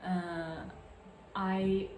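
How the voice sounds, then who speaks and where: A woman with an Italian accent, a native Italian speaker, hosting a casual crafts podcast and showing her projects in halting English full of fillers and restarts. She records at home. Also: lowish voice, level tone, darkish tone, slight background noise. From Italy. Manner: hesitant, halting, many fillers and self-corrections. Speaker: podcast host. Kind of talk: casual show-and-tell of crafts. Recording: echoey room.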